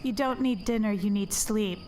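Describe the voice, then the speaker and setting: A woman's voice: medium pitch, normal speed, darkish tone, a little hiss or hum, close to an everyday voice. An actress playing a guarded, weary but firm woman in a naturalistic audio drama, with a curt, dry manner. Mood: exasperated, firm.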